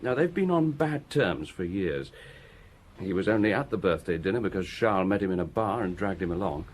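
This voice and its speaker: A diligent local police inspector reporting his findings in a brisk, matter-of-fact way. His voice sits fairly low but moves with lively inflection. Faint ambience runs behind him. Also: slight background noise. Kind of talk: radio drama acting.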